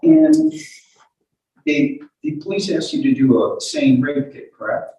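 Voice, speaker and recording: A man's voice: a little high, wildly swooping pitch, measured pace, mellow, a little hiss or hum. A man cross-examining a witness in court, stammering and repeating words as he puts his questions. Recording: very echoey room, distant mic.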